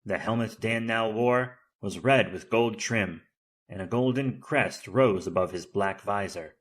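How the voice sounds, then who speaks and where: A man's voice: lowish voice, unhurried. A man narrating an action audio drama in a dramatic, scripted storytelling style.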